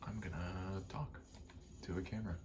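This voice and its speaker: A man softly rambling in a casual ASMR style, breathy and often whispering, sweary and self-deprecating. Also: deep voice, steady tone, moderate pace. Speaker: video creator rambling to camera. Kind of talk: soft-spoken ASMR ramble. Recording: clear background noise, rattling vent. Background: rattling vent noise.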